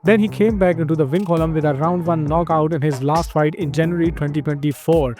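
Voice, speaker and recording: A man with an Indian accent hosts an informal fight news roundup, speaking to viewers in a halting, hesitant way. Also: fairly high pitch, steady tone, darkish tone. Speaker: host of a fight news show.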